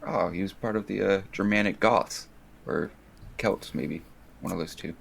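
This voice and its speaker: A young man chatting as a podcast guest, joking in a deadpan, mock-serious way, with some noise on the recording. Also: low voice, normal speed, creaky. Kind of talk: casual joking chat.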